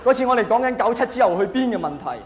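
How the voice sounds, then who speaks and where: High-pitched Hong Kong man doing stand-up. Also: very fast. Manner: animated, self-mocking, mock-earnest. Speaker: stand-up comedian. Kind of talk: stand-up comedy monologue. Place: large live venue with long reverb. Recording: echoey hall, faint noise.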